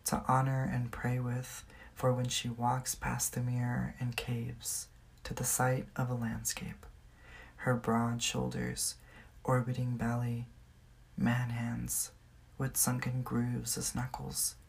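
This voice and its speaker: A woman's voice: extremely low pitch, monotone, takes it slowly, creaky. A woman from California with an American accent, reading poetry aloud as the poet. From California, United States.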